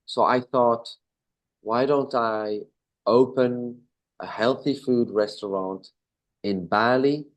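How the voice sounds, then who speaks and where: A man in his thirties from Belgium with a Belgian accent, answering interview questions in a relaxed, casual way, with fillers and self-corrections. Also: mid-range voice, takes it slowly, poor audio. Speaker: interview guest, business owner.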